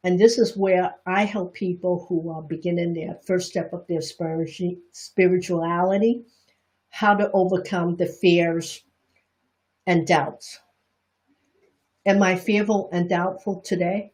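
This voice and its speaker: A woman's voice: on the low side, darkish tone. A middle-aged woman with a Chinese Bostonian accent, teaching reflexology on a livestream in a chatty, candid way, breaking off and restarting her sentences.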